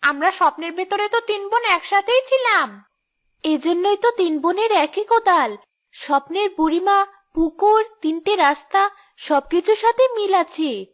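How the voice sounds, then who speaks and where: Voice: very high voice, expressive, normal speed, a bit bright. A performer voicing a kind, helpful young village sister in a cartoon, sweet and eager in manner. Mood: excited. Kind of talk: cartoon voice acting.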